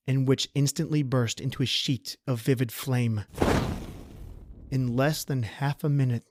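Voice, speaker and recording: A man narrating a horror story as podcast host, with theatrical, deliberate phrasing. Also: medium pitch, a little mellow, dry recording, clean studio sound.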